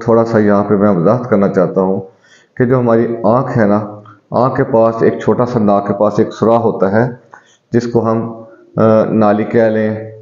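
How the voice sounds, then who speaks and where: A man who is a homeopathic doctor, calmly explaining home eye remedies to his viewers and addressing them as friends. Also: fairly low pitch. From Pakistan. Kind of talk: health advice video to viewers. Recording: dull, echoey room recording.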